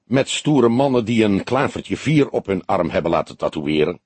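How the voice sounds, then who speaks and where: Guy doing an old cop in an audiobook, wry n patient, probing, raspy husky voice. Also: on the low side, sing-song, darkish tone, no echo. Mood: dryly mocking. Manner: measured, probing, dryly mocking. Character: patient, wry old male police detective. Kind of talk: audiobook character dialogue.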